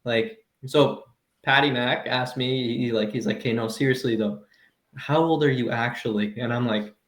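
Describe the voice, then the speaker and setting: A man in his thirties co-hosting a comedy podcast, ranting in animated, profane casual chat and laughing as he talks. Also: medium pitch, normal speed, reverberant. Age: 30s.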